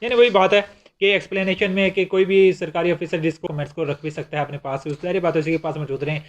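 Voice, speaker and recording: A man's voice: high voice, steady tone, fast. A man with a Pakistani accent explaining legal material like a lecturer, in a didactic way, restating his points. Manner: didactic, repeats and rephrases points. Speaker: law lecturer.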